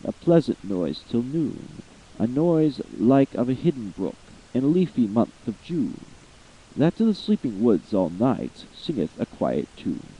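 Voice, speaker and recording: A man giving a slow, theatrical reading of a long narrative poem, swelling with feeling at the high points. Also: warm tone, slightly rough, muffled recording. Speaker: amateur voice actor reading poetry. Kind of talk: dramatic poetry reading.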